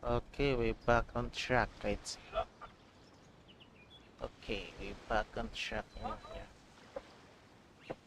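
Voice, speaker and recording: A man's voice: medium pitch, steady tone, drawn-out words, long pauses, a bit bright, slight background noise. A man from the Philippines with a Filipino accent, chatting casually as he livestreams a game. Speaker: game streamer.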